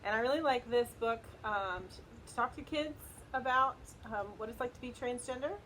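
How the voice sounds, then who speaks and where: A woman's voice: on the high side, moderate pace, fairly bright tone, rough recording. Woman chatting informally through wind noise. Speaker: co-host recommending books. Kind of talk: casual book recommendations. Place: outdoors in wind.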